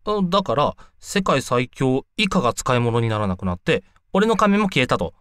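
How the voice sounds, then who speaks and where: A cheeky schoolboy acted by a man in an amateur audio drama. He talks offhand and flippant, with very lively intonation, recorded dry and close. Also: medium pitch, normal speed, clear, resonant tone, no room sound. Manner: casual, flippant.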